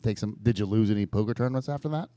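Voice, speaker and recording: A man's voice: on the low side, very fast, warm tone. A man co-hosting a casual podcast, bantering in a playful, teasing way. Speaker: podcast co-host.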